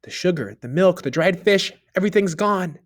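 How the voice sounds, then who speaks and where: Meek household cook, a woman, soft and dutiful, as a male narrator plays her. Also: high voice, lively intonation, normal speed. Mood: shocked. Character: humble female chief cook, dutiful.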